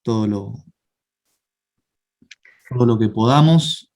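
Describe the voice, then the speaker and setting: A man with a Rioplatense Spanish accent saying a spoken prayer, slow and searching, repeating words. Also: mid-range voice, darkish tone.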